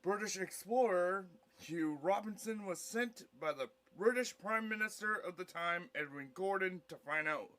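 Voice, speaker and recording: A man reading scripted narration for an audio play in a halting, word-by-word way. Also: high-pitched, sharp tone, low-quality recording.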